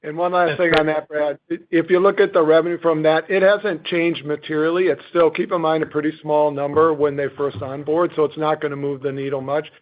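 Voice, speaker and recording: Higher-pitched man on a phone line. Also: steady tone, brisk, hardly any pauses. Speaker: company finance executive. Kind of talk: earnings call remarks. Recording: thin phone-like conference line.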